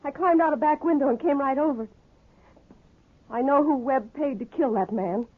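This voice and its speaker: Woman acting scared young american saloon gal, high voice, urgent, tinny old radio drama. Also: moderate pace, lightly acted. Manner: urgent, nervous. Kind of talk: 1950s radio Western acting. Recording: tinny, narrow-band old radio recording.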